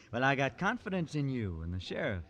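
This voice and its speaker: A man's voice: mid-range voice, big pitch swings, moderate pace, dull audio. A man playing a cocky, cheerful jailed prisoner in an old-time radio western, talking in a folksy way.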